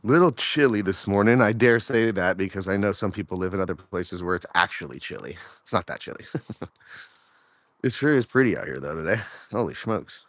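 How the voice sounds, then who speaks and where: A man with a hoarse, deeper morning voice, chatting casually to his viewers while he walks outdoors with his phone, rambling with lots of fillers and questions. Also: lively intonation, a little fast, fairly bright tone, no echo. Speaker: vlogger. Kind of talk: casual talk to camera on a walk. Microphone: phone held while walking.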